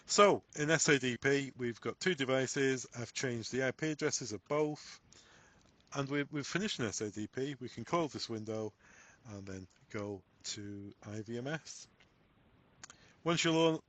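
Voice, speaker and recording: Man narrating a step-by-step software tutorial. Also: mid-range voice, normal speed. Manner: instructional, step-by-step phrasing. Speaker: tutorial presenter. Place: voice-over on a screen recording.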